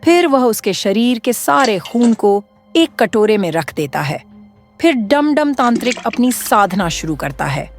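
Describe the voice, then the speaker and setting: A woman narrates a horror cartoon story with a lively, animated rise and fall in her pitch. Her delivery stays steady, with faint music in the background. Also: measured pace.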